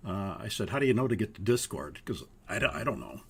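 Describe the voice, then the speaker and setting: An older man from Minnesota, hoarse from a cold, walking students through a flight training course in a halting way with many pauses. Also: lowish voice, sing-song. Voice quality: gravelly, husky. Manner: halting, with frequent uhs. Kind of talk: live online course walkthrough.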